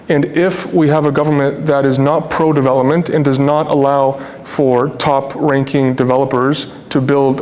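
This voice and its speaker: A young man from Toronto with a North American accent, speaking earnestly and colloquially as a developer on a panel. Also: darkish tone. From Toronto, Canada. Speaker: real estate developer on a panel. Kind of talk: informal panel discussion remarks. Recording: echoey hall, noisy with low rumble.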